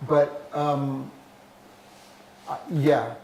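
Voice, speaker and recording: A filmmaker and songwriter answering audience questions after a screening, hesitant and thinking aloud with many restarts. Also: male voice, mid-range voice, fairly even intonation, drawn-out words, creaky, roomy sound, a crowd in the background, poor audio. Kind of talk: casual Q&A after a performance.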